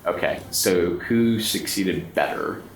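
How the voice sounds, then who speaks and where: A man's voice: deep voice, slow. A man acting as game master, narrating a tabletop horror game in an informal, descriptive way. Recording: roomy echo, background noise.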